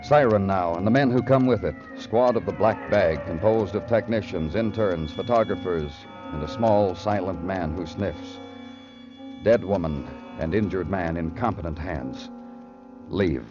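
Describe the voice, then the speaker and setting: An actor playing a brooding, poetic hard-boiled police detective in a measured, solemn radio crime drama style. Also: male voice, low-pitched. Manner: measured, solemn, literary delivery. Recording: dull old radio recording with music.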